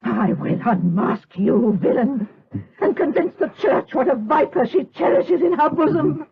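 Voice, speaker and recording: An actress playing an outraged, righteous noble mother in a gothic radio drama, shrill, declamatory and accusing. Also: animated, unhurried, gravelly, husky, heavily acted. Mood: outraged accusation. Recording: dull, lo-fi radio drama recording.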